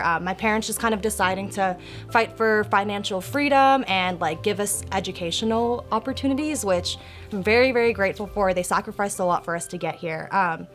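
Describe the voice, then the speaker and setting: A woman's voice: mid-range voice, fairly bright tone. A woman in her twenties, born in Kenya and raised in the United States, speaking thoughtfully as a guest on a podcast. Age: 20s. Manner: thoughtful, with frequent ums. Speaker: guest, activist. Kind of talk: podcast interview.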